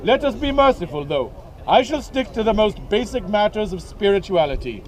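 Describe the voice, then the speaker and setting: Haughty, stern schoolmistress, pitched high and sounding self-important; a man performs her in a comic reading. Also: expressive, brisk, slight background noise. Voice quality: pompous, haughty. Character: stern, haughty female theosophist headmistress.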